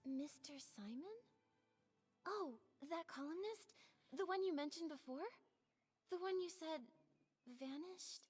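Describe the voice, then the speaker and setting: An actress playing a sweet but firm young heroine in a video game, gently confronting others in an earnest way. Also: female voice, extremely high pitch, animated, takes it slowly, pauses often, heavily acted. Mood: concerned. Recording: reverberant game audio with music behind. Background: music.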